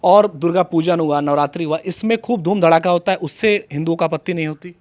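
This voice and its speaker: A man's voice: on the high side, a little fast, darkish tone, no echo. A man working as an interviewer, putting questions in a news interview style.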